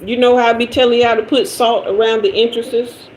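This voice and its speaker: A woman's voice: fairly high pitch, flat delivery, brisk, a little mellow. A woman in her fifties hosting a tarot livestream, chatting loosely with viewers in a slangy, conversational way. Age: 50s. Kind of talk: casual livestream chat reading comments. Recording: roomy echo with faint noise.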